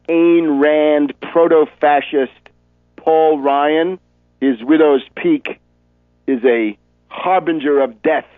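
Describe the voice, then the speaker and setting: A man's voice: medium pitch, no echo. American man, phone-like radio political monologue. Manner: polemical, emphatic, piling up loaded adjectives. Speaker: radio host and political commentator. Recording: muffled phone-like radio audio.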